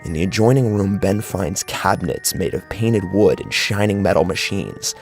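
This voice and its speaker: A man with a gravelly voice narrating a horror story in a dramatic, scripted style. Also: sits low, moderate pace. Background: clear music.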